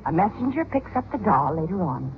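An actress playing an anxious wronged wife, urgent and insistent, in an old-time radio drama. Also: female voice, lowish voice, big pitch swings, brisk. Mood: agitated, insistent. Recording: muffled, hissy old radio recording.

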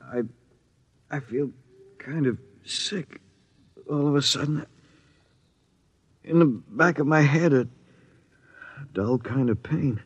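A man's voice: medium pitch, slow, long pauses, husky, no room sound. An American actor playing an ordinary, bewildered expedition crewman in a classic radio drama, speaking plainly and hesitantly. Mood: weak, ailing. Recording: dull, dry vintage radio recording.

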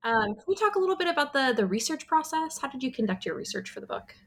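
A woman interviewing an author, speaking in a halting way with fillers. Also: sits high, very animated. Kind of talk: podcast interview.

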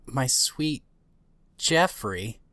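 Woman as doting spider mom, low-pitched, hesitant, earnest, understated, dry close sound, audio drama. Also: lively intonation, drawn-out words, a bit bright, no room sound, full character voice. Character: Martha, woman tenderly doting on a baby spider. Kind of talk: scripted amateur audio drama acting.

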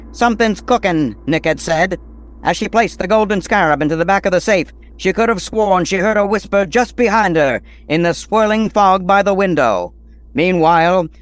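An actor playing a theatrical, suspenseful narrator of an old-time radio mystery serial. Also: male voice, high voice. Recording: faint background noise.

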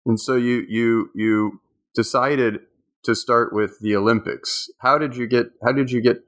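A man's voice: mid-range voice, fairly even intonation, measured pace, a bit bright. A man hosting a book interview podcast, hesitant and repeating words as he asks questions. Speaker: podcast host.

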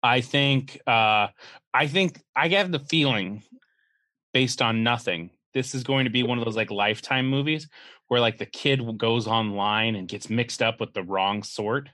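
Male podcast co-host: sarcastic, rambling jokes, bright tense tone, dry close mic. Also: medium pitch, a little slow, no room sound. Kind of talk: casual comedic chat reviewing a movie poster.

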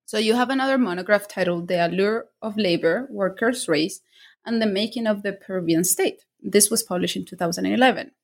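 A woman's voice: mid-range voice, a little fast. A woman hosting an interview podcast who reads a formal introduction and asks long, enthusiastic questions.

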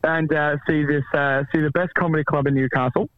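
A comedian with an Australian accent chats and jokes on a call-in, laid-back and a little tipsy, laughing often. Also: male voice, fairly high pitch, fairly even intonation, a little fast, phone-quality sound. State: tipsy after a few beers. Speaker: comedian calling in as a guest. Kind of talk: casual joking phone chat.

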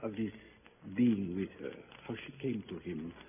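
Casting: man playing elderly priest, pleading and grave, very low voice, muffled noisy vintage radio drama. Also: close to an everyday voice. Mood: solemn, pleading. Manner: halting, solemn, formally phrased, pleading. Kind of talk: old-time radio drama acting.

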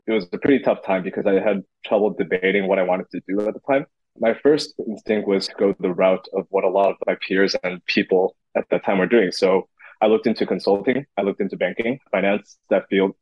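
A man's voice: lowish voice, husky, no room sound, lo-fi sound. A man in his thirties who grew up in Beijing, answering interview questions thoughtfully with frequent restarts. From Beijing, China. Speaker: podcast guest, entrepreneur.

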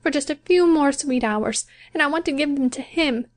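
A gentle young bride with a high, soft voice, patient and resigned, pleading quietly in a storybook reading, as a woman reader puts her on. She sounds a little unlike the reader's own voice. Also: normal speed, close to an everyday voice. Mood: soft, wistful. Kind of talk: audiobook character voice.

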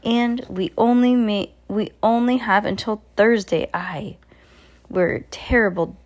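A woman's voice: medium pitch, fairly even intonation, darkish tone, natural voice. A woman voicing a frantic, blustery male submarine captain who fires off rhetorical questions. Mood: urgent. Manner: rapid-fire rhetorical questions.

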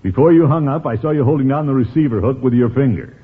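An actor playing a blustery, easily exasperated middle-aged uncle, pompous and indignant, in 1950s radio comedy style. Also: male voice, medium pitch, quick pace, lightly acted. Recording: noisy old radio broadcast, muffled band.

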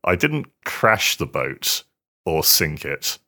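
A British man with an extremely low-pitched voice that still comes across bright and forward. He chats casually as a podcast co-host, often stammering and restarting, and puts himself down lightly. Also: big pitch swings, slow. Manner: hesitant, stammering, self-deprecating. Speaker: podcast co-host, hardware journalist. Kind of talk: casual chat.

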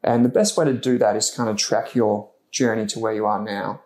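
A man's voice: fairly low pitch, fairly even intonation, fairly quick, a little mellow. A man hosting an interview podcast, asking friendly, encouraging questions. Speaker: podcast host. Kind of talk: interview host. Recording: roomy echo.

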